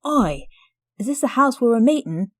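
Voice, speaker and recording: A woman's voice: fairly high pitch, expressive, normal speed, noisy background, lightly acted. A woman voicing a motherly dwarf baker. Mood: fussy. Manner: chatty, apologetic, calls others dearie. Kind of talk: fan audio drama roleplay.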